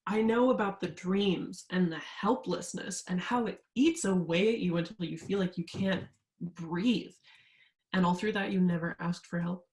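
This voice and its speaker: A woman's voice: on the low side, moderate pace, a little mellow, close to an everyday voice. A young woman playing an insistent, haunted student searching for the truth in a staged reading, earnest and full of pressing questions. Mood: urgent, probing. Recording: roomy, echoing sound.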